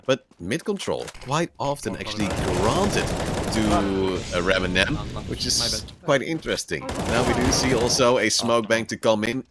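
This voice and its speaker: A man casting an online shooter tournament, giving play-by-play with chatty, joking asides. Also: on the low side. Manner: chatty, self-deprecating, jokey asides. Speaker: esports caster. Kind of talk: esports play-by-play commentary. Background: players' voice chat in another language.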